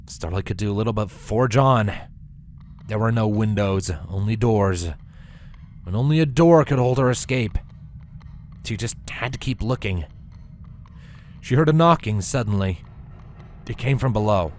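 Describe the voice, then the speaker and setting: A man narrating a scripted story with a dramatic, expressive delivery. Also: fairly low pitch, a little slow, long pauses, a little hiss or hum.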